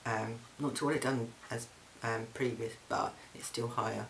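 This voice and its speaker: A young man records a casual video diary at home, speaking in a mid-pitched, fairly monotone voice. He talks in a loose, halting way, filling gaps with ums and restarting his sentences. A faint hiss sits under the recording. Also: vocal fry, rough recording. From England. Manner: chatty, frequent ums and restarts. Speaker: vlogger. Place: flat, indoors.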